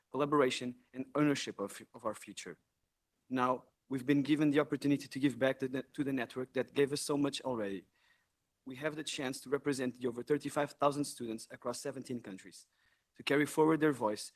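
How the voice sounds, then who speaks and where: A young man from Portugal, the incoming president of a student network, giving an earnest, measured formal speech in a large hall. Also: medium pitch. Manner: earnest, measured, occasional self-corrections.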